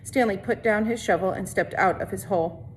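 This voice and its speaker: A woman's voice: mid-range voice, a little fast, a little hiss or hum, low-quality recording. A woman reads a story aloud in an informal way, now and then stumbling and rereading a phrase. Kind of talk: read-aloud story narration with brief casual asides.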